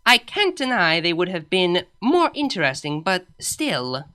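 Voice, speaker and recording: A woman's voice: fairly low pitch, very animated, a little slow, pushed and bright, clear, resonant tone, full character voice. A woman voicing a smug younger-sister pony princess in a comic storybook, teasing and self-satisfied, with a dry manner.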